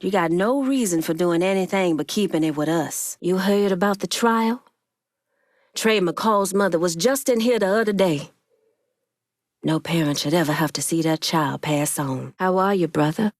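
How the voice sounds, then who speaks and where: A woman playing a proud, shrewd Haitian gang boss in a video game, speaking in a stern, deliberate, profane street-tough way. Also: lowish voice, no room sound, studio quality, natural voice. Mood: somber, concerned. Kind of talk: video game character dialogue.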